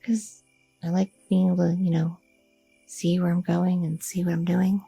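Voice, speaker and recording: A woman's voice: on the low side, little pitch movement, moderate pace, creaky, warm tone, soft background music, rough recording. A woman voicing a curious, chatty young girlfriend in an ASMR roleplay, rambling with many fillers and nervous questions. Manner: rambling, inquisitive, filler-heavy talk.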